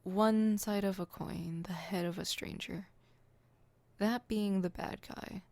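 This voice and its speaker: A woman's voice: mid-range voice, steady tone, slow pace, a little mellow, dry recording. A woman playing a theatrical, poetic supervillain in an ASMR roleplay, haughty and dramatic, stammering when flustered. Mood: solemn poetic.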